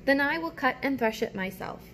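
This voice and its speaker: A woman's voice: high voice, lively intonation, moderate pace, fairly bright tone, a little hiss or hum, voice pushed up. A woman voicing a hardworking, self-reliant little red hen from a storybook, in a plain and emphatic delivery. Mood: resolute.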